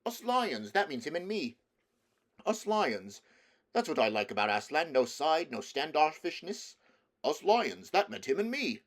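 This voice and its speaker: Guy doing a proud eager dopey lion, excitable, higher than his normal voice. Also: a little slow. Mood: proudly thrilled. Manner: excitable, repetitive. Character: eager, proud, simple-minded male lion.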